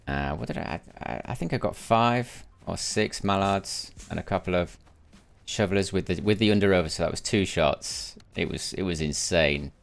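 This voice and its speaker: A man's voice: sits low, normal speed, creaky. A tired man chatting through his gameplay on a live stream, musing aloud and often restarting his sentences. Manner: chatty, muses aloud, often repeats words while restarting. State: tired after a sleepless night, voice lower than usual. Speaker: gaming streamer. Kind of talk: casual live gameplay commentary.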